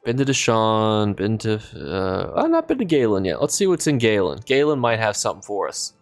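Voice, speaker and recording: A man's voice: on the low side, wildly swooping pitch, normal speed, soft background music. A man losing his voice from a cold, chatting casually on a live stream while he plays and reads out chat, rambling as he thinks aloud.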